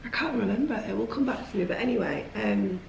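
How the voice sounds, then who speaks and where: Hoarse woman vlogging. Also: mid-range voice, lively intonation, fast talker, darkish tone, echoey room, lo-fi sound, a little hiss or hum. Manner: chatty, rambling, self-deprecating. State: lost voice after a cold, hoarse. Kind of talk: casual chat to camera. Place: at home, indoors.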